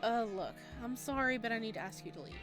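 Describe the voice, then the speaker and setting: A woman's voice: a little high, lively intonation, fast, over music, natural voice. A woman voicing a friendly, puzzled visual novel protagonist in a casual, placating way. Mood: gently firm.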